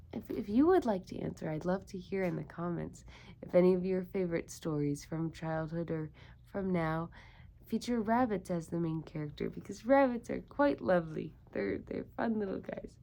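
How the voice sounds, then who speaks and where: A woman's voice: fairly low pitch, moderate pace, creaky, mellow, slight background noise. A woman doing an interactive storytime for young children, encouraging them with repeated questions. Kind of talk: interactive picture-book read-aloud for young children.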